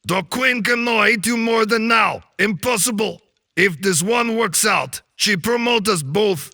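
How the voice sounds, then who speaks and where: Tiny scheming fairy, Russian accent, high voice, slow delivery, brash, broken English, cartoonish fantasy drama. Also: male voice, gravelly, pushed and bright, dry recording, clean studio sound, exaggerated voice. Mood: alarmed. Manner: broken English, blunt exclamations. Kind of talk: animated fantasy audio drama acting.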